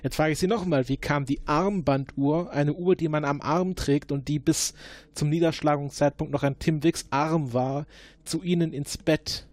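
A man in an improvised role-play, questioning formally and probingly as an investigator, often halting mid-sentence. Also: a little high, fairly even intonation, a little mellow, no echo. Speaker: player acting as investigating agent.

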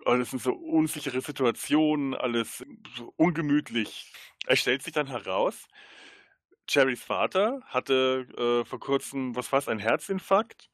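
A man's voice: medium pitch, expressive, a little slow, a bit bright, dry recording, studio quality. A man co-hosting a podcast, casually retelling a story with enthusiasm and searching for words as he goes. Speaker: podcast co-host. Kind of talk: casual chat retelling a TV episode.

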